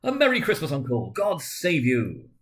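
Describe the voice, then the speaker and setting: A man voicing a cheerful, warm-hearted young nephew, speaking with earnest, pleading feeling in a dramatic storybook reading. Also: fairly high pitch, huge pitch swings, normal speed, pitched above the performer's own voice. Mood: jolly.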